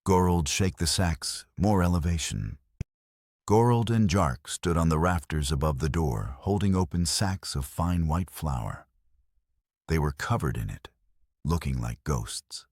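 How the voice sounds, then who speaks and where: A man's voice: rumbling low, animated, measured pace, a little mellow, slightly rough, dry recording, studio quality. A man narrating a scripted story in a dramatic audiobook style.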